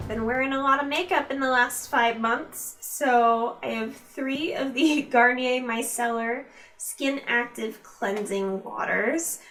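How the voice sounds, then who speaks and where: A woman's voice: a little high, a bit bright, distant mic. A woman chatting informally to her viewers as a beauty vlogger, reviewing products in a casual, direct way. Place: room at home used for filming.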